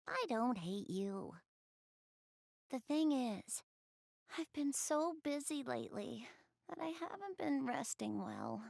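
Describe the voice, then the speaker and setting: Anime game role, a bashful, soft-spoken goddess girl. Lively intonation with touches of creak, hesitant delivery, dry close sound. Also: sits high, no echo, heavily acted. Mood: apologetic, reassuring. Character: shy, flustered young goddess girl, soft-spoken, easily embarrassed. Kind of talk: anime video game voice acting, addressing the player.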